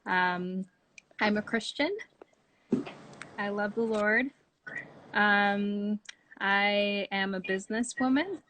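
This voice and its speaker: A woman's voice: mid-range voice, takes it slowly, long pauses, a bit bright. A woman from Tanzania, giving friendly, casual answers as an interview guest. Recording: slightly noisy online call.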